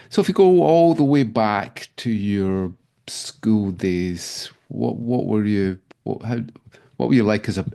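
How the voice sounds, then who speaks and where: A man's voice: deep voice, gravelly, dark tone. A middle-aged man hosting a conversational academic interview, talking discursively as he leads into his questions. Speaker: interviewer, podcast host.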